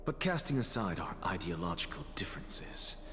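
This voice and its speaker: A man voicing a sardonic, weary, dying scholar in a video game, speaking in a deliberate, haughty and theatrical way. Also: low-pitched, very animated, background noise. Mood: wry, candid. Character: sardonic, dying one-eyed male scholar, weary and candid. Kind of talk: scripted game voice acting. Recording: long hall reverb, loud music.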